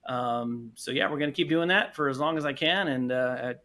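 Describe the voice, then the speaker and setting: Bright-voiced man as a podcast guest. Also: medium pitch, a little fast. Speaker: podcast guest, audio drama producer. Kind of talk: casual interview chat.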